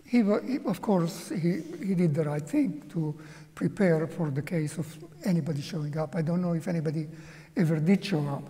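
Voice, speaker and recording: A man in his seventies, a native Hungarian speaker from Czechoslovakia, giving a slow, halting, reflective personal testimony as an interview guest in a museum auditorium. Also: a little high, warm tone, in a large hall. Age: 70s.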